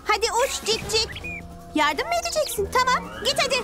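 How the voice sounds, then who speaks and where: A woman voicing an earnest, emotional, warm-hearted young child in a dubbed children's cartoon. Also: extremely high pitch, very animated, fairly quick. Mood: urgent. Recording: loud music under the voice.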